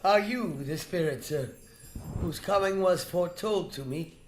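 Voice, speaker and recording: A man playing a timid, sentimental old miser in a radio drama, with a halting, theatrical delivery. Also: high-pitched, a little hiss or hum. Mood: timid awe.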